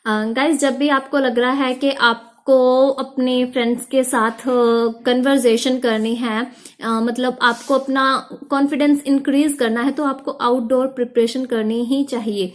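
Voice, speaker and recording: A young woman teacher talks through a spoken lesson in a high, clear voice. She explains at an even pace and states each short tip slowly and deliberately. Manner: explains in mixed Hindi and English, reads out English tips slowly. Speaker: IELTS teacher. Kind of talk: video lesson on speaking.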